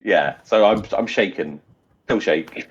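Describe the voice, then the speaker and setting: A man playing a tabletop roleplay game, casual and animated, sweary, slipping in and out of character. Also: lowish voice, unhurried, breathy edge, muffled recording.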